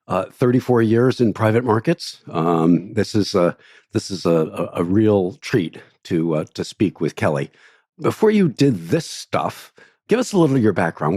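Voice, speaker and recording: A man's voice: sits low, darkish tone, no echo, clean studio sound. A man hosting an interview in a casual, informal way, thinking aloud as he goes. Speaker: interview host.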